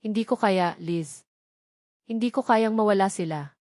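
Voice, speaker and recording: A woman's voice: medium pitch, a little slow, darkish tone, no room sound, studio quality, lightly acted. A woman voicing a frightened, protective young mother in a story, anxious and pleading. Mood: fearful pleading.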